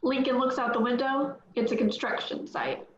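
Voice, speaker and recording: A woman reads the stage directions in a plain, matter-of-fact way. Her voice sits fairly high. The audio sounds roomy and muffled. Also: fairly even intonation, moderate pace. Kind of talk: reading stage directions at a table read.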